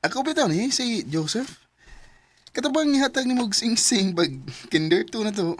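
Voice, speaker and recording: A woman's voice: fairly high pitch, lively intonation, normal speed. A woman playing a modest, good-humored young man in his mid-twenties who runs a motor shop. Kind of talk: amateur radio drama acting.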